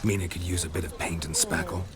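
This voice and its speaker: A man playing a gruff, weary, protective monster hunter in a video game, speaking tersely and dryly in a low growl. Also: steady tone, quick pace, a crowd in the background, close to an everyday voice. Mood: dry, questioning. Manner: terse, dry, understated. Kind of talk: video game dialogue acting. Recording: music behind the voice.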